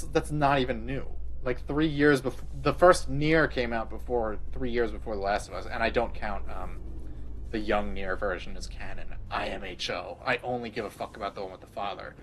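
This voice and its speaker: A man's voice: medium pitch, rough recording. Crude rambling male game streamer. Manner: crude, rambling, laughs often. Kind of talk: casual gameplay commentary.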